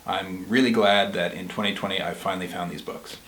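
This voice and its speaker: Lowish-voiced man in an echoey room. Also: fairly even intonation, brisk, fairly bright tone, a little hiss or hum. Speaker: book review co-host. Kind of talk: casual book recommendation chat. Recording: roomy, echoing sound.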